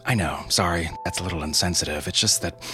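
A man hosting a podcast with a scripted comedic explainer, sardonic and deadpan with mock-solemn turns. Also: low voice, quick pace, soft background music. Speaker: podcast host.

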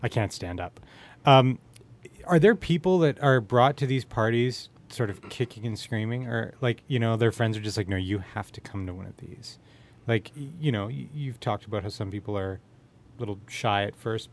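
A man's voice: mid-range voice, a little fast. Casting: male podcast host, mid-range voice, chatty and loose, rambles through his questions. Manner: rambling, self-interrupting questions. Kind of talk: casual chat.

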